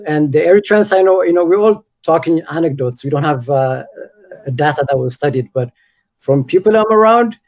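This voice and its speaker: Male panel guest, slightly high voice, hesitant and filler-heavy. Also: expressive, normal speed, darkish tone. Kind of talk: casual panel discussion.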